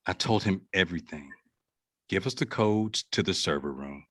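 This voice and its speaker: An actor playing an edgy, rough henchman in a sci-fi gang during a table read. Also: sits low, lively intonation, normal speed, no room sound, studio quality. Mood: cold betrayal.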